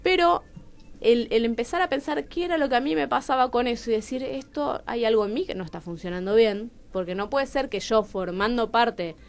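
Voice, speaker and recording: A woman with a fairly high voice and a Rioplatense accent talks openly about herself in a radio interview. She is animated, posing questions to herself and slipping into imagined dialogue as she explains. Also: a little fast. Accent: Rioplatense Argentine Spanish. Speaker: guest playwright. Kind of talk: candid radio interview, personal storytelling. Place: radio studio.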